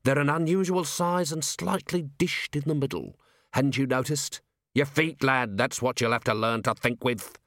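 A man voicing a gruff, sardonic, middle-aged police commander in an audiobook, with a clipped, commanding manner. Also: mid-range voice, moderate pace, fairly bright tone, no room sound, studio quality, lightly acted. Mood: mock cheerful. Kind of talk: audiobook character acting.

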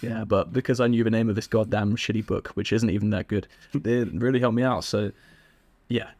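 A young man from England with an English accent, a guest telling stories in a relaxed, chatty way with frequent laughs and fillers. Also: fairly low pitch, fairly quick, dry recording. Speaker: podcast guest. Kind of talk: casual interview chat.

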